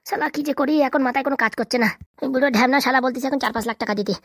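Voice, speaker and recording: A man acts out a troubled village husband in a comedy cartoon, full of regret. The voice is high and races along in a dry recording. He grumbles, rambles and scolds himself like a drinker with too many worries. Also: racing, dry recording. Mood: worried, grumbling. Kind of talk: comedy cartoon dialect acting.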